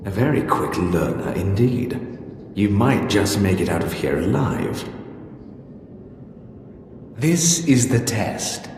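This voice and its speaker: An actor playing an ancient, proud Sith ghost in a video game, speaking in a measured, imperious and menacing way. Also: male voice, on the low side, animated, a little mellow. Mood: menacing, appraising. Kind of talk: dramatic video game acting. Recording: cavernous long reverb, faint noise.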